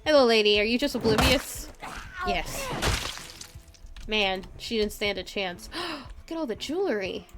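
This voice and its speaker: A woman's voice: on the high side, animated, a little slow, loud music behind the voice. A woman giving casual live gameplay commentary in a playful way, talking to herself and her chat. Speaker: game streamer, host.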